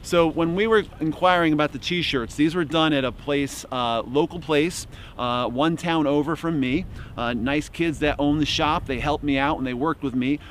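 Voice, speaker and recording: Deadpan man pitching gear in the woods. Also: normal speed, a little hiss or hum. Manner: dry, mock-salesman humor. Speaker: outdoor gear vlogger. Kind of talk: deadpan comic product pitch. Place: outdoors in bare winter woods.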